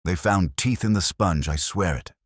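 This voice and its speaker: A suspicious village gossip from a storybook mystery, read by a man. The voice is very deep and dry-sounding, with colloquial, insinuating delivery. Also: moderate pace, no room sound. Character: gossiping, suspicious English townsfolk at a village fete.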